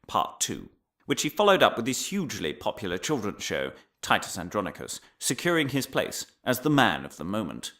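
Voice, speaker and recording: An actor playing a pompous, paranoid crackpot professor in a comic audio drama, lecturing theatrically with dramatic pauses, moving between hushed conspiracy and emphatic declaiming. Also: male voice, lowish voice. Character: pompous, paranoid crackpot male professor delivering absurd revelations.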